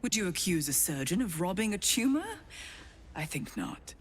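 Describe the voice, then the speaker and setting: A woman playing a cold, condescending cult priestess in a video game, deliberate and disdainful, sometimes hushed. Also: on the low side, big pitch swings, slight background noise. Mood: cold, smug.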